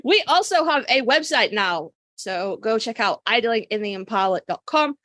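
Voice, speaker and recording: A woman's voice: fairly high pitch, animated, normal speed, a bit bright, dry recording. Woman co-hosting, dry close sound. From Britain. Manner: informal, emphatic, sweary. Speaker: podcast co-host. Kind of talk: casual fan discussion chat.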